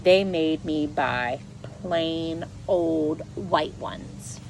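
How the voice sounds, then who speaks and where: A woman reading a children's picture book aloud in an expressive, animated way, stressing and drawing out key words. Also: low-pitched, vocal fry, slight background noise, rough recording. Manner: animated, storytelling with emphatic stresses and drawn-out words. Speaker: read-aloud presenter.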